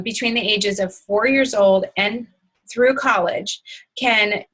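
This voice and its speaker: A woman presenting a product webinar over slides, in an upbeat sales-pitch manner, often opening sentences with "so" and using small fillers. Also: fairly bright tone, poor audio.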